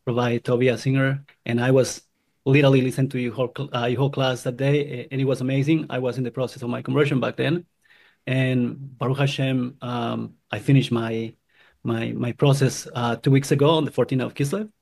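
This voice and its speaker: A man from Guatemala telling his own story in an earnest, halting way, often restarting his sentences. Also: medium pitch, flat delivery, creaky, darkish tone. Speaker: convert sharing his story. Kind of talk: personal testimony.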